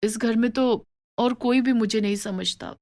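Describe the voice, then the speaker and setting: A woman's voice: on the high side, steady tone, measured pace, a little mellow. A woman voicing an overworked young wife in a moral story, plaintive and polite. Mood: weary, plaintive. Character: overworked young daughter-in-law, weary but dutiful wife. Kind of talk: moral story character dialogue.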